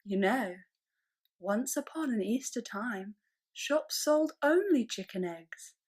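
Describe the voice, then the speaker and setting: A woman reading a children's story-poem in verse, theatrical and expressive, with hushed moments and dramatic swells. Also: on the high side, slow pace, husky.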